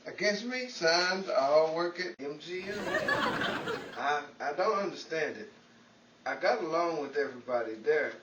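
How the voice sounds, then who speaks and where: An actor playing a mischievous, broke movie bit-player who wisecracks cockily, in the style of 1940s radio comedy. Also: male voice, a little high, animated, unhurried. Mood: puzzled, joking. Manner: wisecracking, cocky banter. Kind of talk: 1940s old-time radio comedy acting. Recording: echoey, noisy, muffled, distant radio-play recording.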